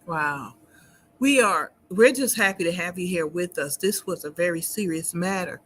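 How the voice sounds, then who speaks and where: A woman hosting a talk show, asking questions in a warm, encouraging manner. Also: on the low side, normal speed, a little hiss or hum. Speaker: host. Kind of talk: interview conversation.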